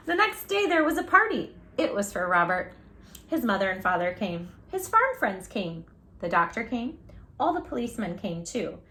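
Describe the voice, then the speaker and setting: Woman, children's storytime reader, bright high voice, theatrical delivery, drawn-out words. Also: sing-song, a little hiss or hum. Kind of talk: expressive picture-book read-aloud.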